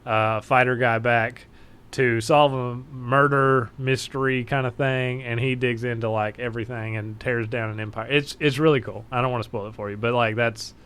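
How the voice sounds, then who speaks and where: Podcast guy, easygoing chat, mid pitch, cusses when he complains. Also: fairly even intonation, normal speed, a bit bright, smooth. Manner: laid-back, swears freely when griping. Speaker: podcast co-host.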